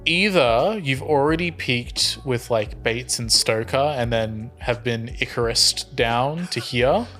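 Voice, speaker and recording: Man, podcast co-host, average pitch, earnest rambling explanations, casual chat, light music behind. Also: slow, hardly any pauses, fairly bright tone.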